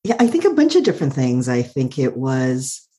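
Middle-aged woman, low voice, soothing and calm, reflective, personal storytelling, frequent "like". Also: normal speed. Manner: warm, thoughtful, laughs often, uses "like" a lot. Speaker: guest.

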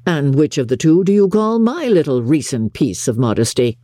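A jovial young gentleman from an audiobook, acted by a woman. The pitch moves freely in a mellow voice, easygoing, impulsive and good-natured. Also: very animated, moderate pace, dry recording, studio quality. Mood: cheerful protest. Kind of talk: audiobook character acting.

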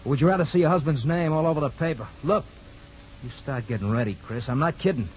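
An actor playing a menacing criminal ex-lover in a 1950s radio drama, slangy, needling and bullying. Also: male voice, a little high, lively intonation, fast, lightly acted. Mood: menacing, insistent. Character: menacing, sneering criminal ex-lover, blackmailing tough guy. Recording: dull, hissy 1950s radio recording.

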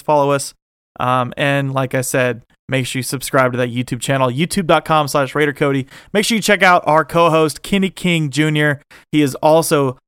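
A man hosts a casual podcast with loose, enthusiastic talk and frequent laughs. His voice is smooth and resonant, a little worn from a long night of talking. The sound is dry and close, with no room around it. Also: mid-range voice, a bit bright, dry recording. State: losing his voice. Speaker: podcast host.

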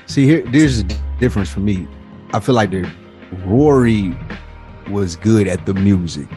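Man, fairly low voice, casual podcast co-host, animated slangy debate, music underneath. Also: measured pace.

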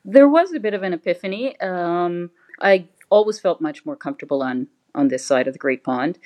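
A woman's voice: lowish voice, lively intonation, normal speed, darkish tone. A middle-aged woman from Canada, a guest in an interview, answering thoughtfully and hesitantly with many fillers. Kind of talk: conversational interview.

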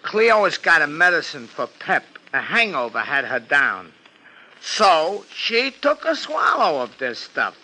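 Anxious streetwise florist, piercingly bright. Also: male voice, on the high side, big pitch swings, unhurried, heavily acted, rough recording. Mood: fretful, earnest. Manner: slangy, ungrammatical tough-guy talk. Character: anxious, streetwise city florist doting on his wife. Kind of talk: 1950s radio detective drama acting.

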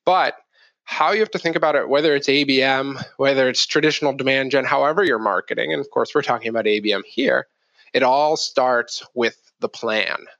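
A man's voice: medium pitch, a little fast, vocal fry, a bit bright, no echo. A man explaining things conversationally as an interview guest, often posing rhetorical questions, on slightly muffled audio. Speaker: podcast guest, marketing executive.

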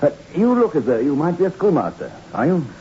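An actor playing an earnest, formal and persuasive doctor in a 1960s radio drama. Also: male voice, a little high, sing-song, fast talker, warm tone. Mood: urgent, persuasive. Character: earnest, persuasive male country doctor with a secret. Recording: muffled, noisy old radio recording.

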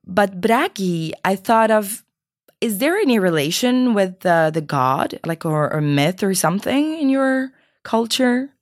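A woman's voice: medium pitch, expressive, a little slow, dry recording, clean studio sound. A woman hosting a podcast interview in a chatty, partly scripted style, a bit sick. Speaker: podcast host.

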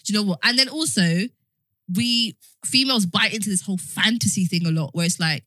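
A woman's voice: mid-range voice, brisk, sharp tone, no echo. A British woman in her twenties co-hosting a podcast, talking candidly and emphatically in a slangy, advice-giving way. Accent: British English. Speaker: podcast co-host. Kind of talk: candid casual chat. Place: podcast studio.

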